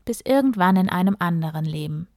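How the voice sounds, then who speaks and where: A woman's voice: fairly low pitch, animated, brisk, a little mellow, no echo, studio quality. A woman reading out the words of a kindly, affectionate grandfather in a children's storybook. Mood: fond, knowing.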